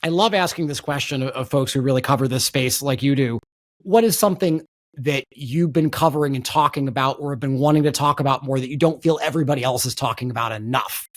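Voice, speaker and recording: A man's voice: mid-range voice, quick pace. A man hosting a political podcast, talking in an emphatic conversational way and repeating words as he restarts. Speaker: podcast host.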